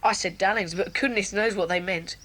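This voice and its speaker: A woman voicing a plucky schoolboy who reports what happened in a steady, plain, matter-of-fact way in a 1980s children's audio drama. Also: mid-range voice, a little fast, lightly acted, low-quality recording.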